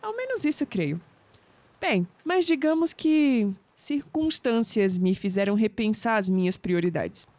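An actress playing a guarded, teasing young woman researcher with a Brazilian accent in an audio drama, quick with sceptical retorts. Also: fairly high pitch, expressive, darkish tone, no room sound. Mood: reflective.

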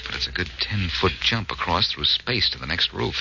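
Through a dull, noisy vintage radio recording comes a confident amateur sleuth. His deep voice swings expressively through clipped, wry lines in 1940s detective-drama style. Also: lively intonation, moderate pace, creaky. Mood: hushed, wry.

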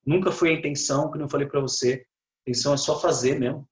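Brazilian man in an echoey room. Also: fairly high pitch. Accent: Brazilian Portuguese. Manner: relaxed, halting, many fillers and restarts. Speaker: guest guitarist. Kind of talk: casual podcast chat. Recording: roomy echo.

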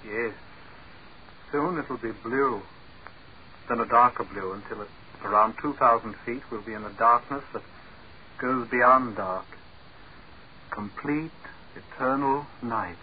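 A man's voice: mid-range voice, takes it slowly, pauses often, natural voice. Polite patient scientist guy , man acting it, mid voice, old muffled radio with a bit of hiss. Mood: explaining.

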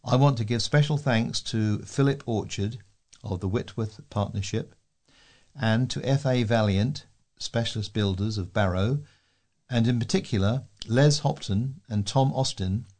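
A man's voice: mid-range voice, normal speed, darkish tone. A man reading local newspaper letters aloud as a volunteer newsreader.

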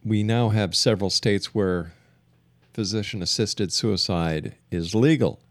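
A man hosting a radio interview, putting long questions in a deliberate, halting way. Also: fairly low pitch, no echo. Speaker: radio show host, interviewer.

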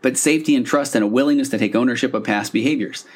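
A man's voice: mid-range voice, quick pace, slightly rough. A man hosting a podcast, talking in a casual, explanatory, conversational monologue. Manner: conversational, acting out example dialogue lines. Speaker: podcast host.

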